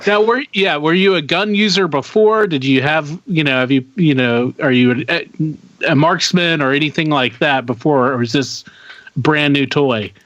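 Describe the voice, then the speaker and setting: Higher-pitched man interviewing, dull lo-fi sound. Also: moderate pace, a bit bright. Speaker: podcast co-host. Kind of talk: casual interviewing.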